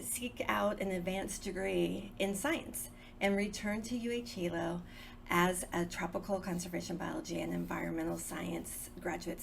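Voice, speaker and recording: A woman's voice: mid-range voice, creaky, a little hiss or hum. A woman, the program coordinator, formally introducing a speaker in an admiring tone with some ums.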